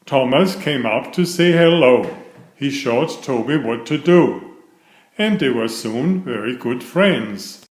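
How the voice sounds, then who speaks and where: Slow, animated male storybook narrator. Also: medium pitch, echoey room. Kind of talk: read-aloud children's story, scripted narration.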